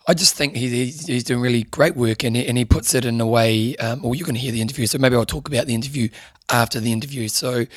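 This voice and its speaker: A man hosting a podcast in a casual, rambling way, his voice hoarse and strained. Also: mid-range voice, dry recording. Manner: rambling, many restarts and fillers. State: strained, losing voice. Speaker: podcast host.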